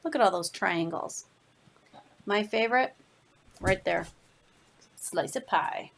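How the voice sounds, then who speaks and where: A woman reading a children's storybook aloud as a teacher would, with emphatic, drawn-out phrasing for young listeners. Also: mid-range voice, vocal fry, a little hiss or hum. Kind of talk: expressive children's read-aloud.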